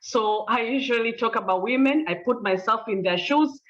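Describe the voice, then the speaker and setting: A woman's voice: on the high side, animated, moderate pace, a bit bright. A woman speaking on a conference panel over a video call, earnest and giving examples from her own work. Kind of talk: conference talk. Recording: distant, lo-fi call audio.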